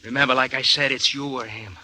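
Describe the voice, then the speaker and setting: A man's voice: medium pitch, steady tone, brisk, bright, forceful tone, poor audio. An actor playing a brutal, scheming son who bullies his mother in a 1940s radio drama. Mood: menacing. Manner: menacing and bullying. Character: brutal, scheming young male murderer son.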